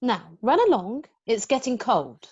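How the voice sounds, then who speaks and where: A woman playing a prim, brisk, kindly nanny in an amateur script read-through. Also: a little high, big pitch swings, a little mellow, slightly rough, dull audio, full character voice.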